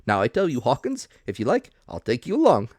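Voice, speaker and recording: A man voicing a hearty, blustering revenue officer, brisk and emphatic, in a dramatic audiobook reading. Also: medium pitch, wildly swooping pitch, a little mellow, dry recording, clean studio sound, pitched above the performer's own voice.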